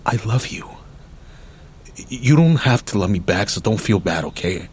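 An actor playing a weary, tender middle-aged uncle haunted by prison in a radio play, confiding haltingly and wistfully. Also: male voice, on the low side, lively intonation, husky.

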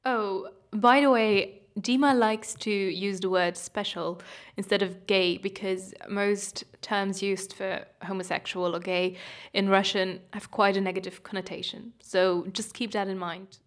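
Woman, mid pitch, explanatory documentary narrator. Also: fairly even intonation, a little slow. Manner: explanatory, read-aloud. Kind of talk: scripted narration.

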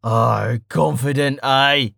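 A man's voice: medium pitch, steady tone, takes it slowly, creaky, pushed and bright, no echo, studio quality, heavily acted. A man voicing an angry, swaggering bandit gang boss who barks and taunts, in a fantasy audiobook. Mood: taunting. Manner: barking, taunting.

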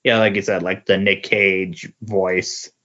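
Dude with a lowish voice, kinda halting, chatting on a podcast, muffled mic. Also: male voice, little pitch movement, bright, forceful tone. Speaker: podcast host. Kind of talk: casual chat. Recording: muffled lo-fi audio.

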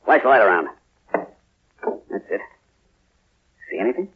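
A thin, phone-like vintage radio recording carries a bold, commanding monster hunter. His low, rough-edged voice swings widely as he gives terse, unflinching orders in a horror play. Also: sing-song, a little slow, heavily acted. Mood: wary, commanding. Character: bold, commanding plantation boss hunting the undead with a pistol. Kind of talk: old-time radio horror acting.

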